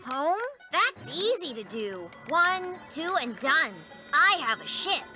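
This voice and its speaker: A woman voicing a cheeky, eager and impatient young boy in an animated children's series, with flippant, boastful delivery. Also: high-pitched, takes it slowly, heavily acted. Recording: music behind the voice.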